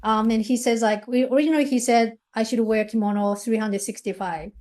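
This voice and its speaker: A woman's voice: a little high, steady tone, fairly quick, darkish tone. A Japanese woman in her thirties, a researcher, speaking English with a Japanese accent, talking freely in an interview with many "like, you know" fillers. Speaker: guest researcher. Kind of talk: interview conversation.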